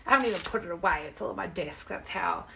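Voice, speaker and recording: A young woman with a croaky, sore-throated voice, hosting a casual live craft tutorial from her desk at home. She rambles chattily, greets viewers by name and keeps apologising. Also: lowish voice, fast, pushed and bright, slight background noise. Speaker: craft demonstrator and live-stream host.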